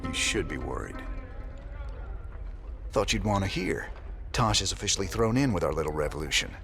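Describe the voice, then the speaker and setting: An actor playing a weary, tough rebel commander who talks in a low, world-weary drawl in video game dialogue. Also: male voice, expressive, normal speed, fairly bright tone, soft background music. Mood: steady.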